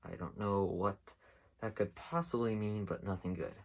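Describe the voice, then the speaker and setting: A man talks through his commentary in a very low voice with a heavy fry. He hosts casually and without a script, taking his time and often pausing as he rambles from thought to thought. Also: darkish tone. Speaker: host of an internet mystery series. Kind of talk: casual unscripted video commentary.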